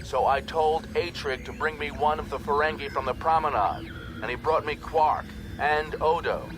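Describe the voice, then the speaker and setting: A man's voice: fairly high pitch, fairly even intonation, moderate pace, background noise, voice pushed up, natural voice. A man voicing a harsh, commanding female alien scientist in an audiobook, clipped and explanatory. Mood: grim, businesslike. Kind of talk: audiobook character acting.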